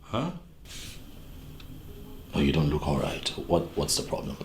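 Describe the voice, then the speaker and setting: Deep-voiced, earnest pleading husband. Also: male voice, sing-song, measured pace, a little hiss or hum, full character voice. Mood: gentle, consoling. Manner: repeats words insistently, pleading. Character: earnest husband, soothing and pleading between two women. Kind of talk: naturalistic film drama acting. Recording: roomy echo, faint background music.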